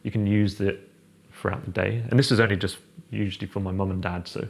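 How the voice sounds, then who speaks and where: Young man, low gravelly voice. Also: brisk. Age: young adult. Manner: relaxed, rambling, many fillers and restarts. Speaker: architect guest. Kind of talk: casual chat, house tour. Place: large open-plan house living room, indoors.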